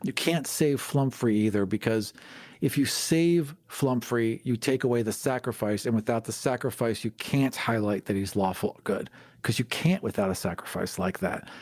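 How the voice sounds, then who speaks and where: A man co-hosting a podcast, chatting casually in a candid, emphatic, animated way. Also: medium pitch, normal speed, no echo, clean studio sound. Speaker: podcast host. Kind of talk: casual chat.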